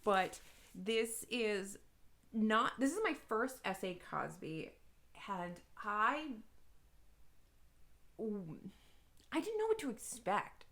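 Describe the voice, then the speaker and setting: A woman's voice: mid-range voice, sing-song, slow pace, pauses often, a bit bright. A woman talking casually and emphatically to viewers as a book vlogger, in an informal, rambling, self-deprecating way. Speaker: book review vlogger and podcast co-host. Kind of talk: casual chatty video monologue to viewers.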